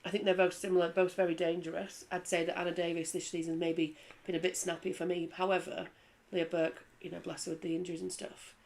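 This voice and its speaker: A lower-voiced woman chats on a rugby league panel show. She talks in a loose, lively way and laughs easily, and her sentences tumble and restart as she goes. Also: fast talker, creaky, hardly any pauses, slight background noise. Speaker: rugby league pundit and former player. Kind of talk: casual panel chat.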